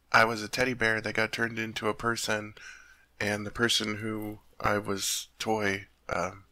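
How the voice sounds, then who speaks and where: A man acts out a sweet, naive teddy bear who has become a tall man, for an ASMR roleplay. His voice is very bright and crisp-edged with a gravelly creak, recorded close and dry. He talks in an innocent, earnest, chatty way, easily puzzled by human things. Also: lowish voice, dry recording. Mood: earnest, sheepish. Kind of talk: ASMR roleplay addressed to the listener.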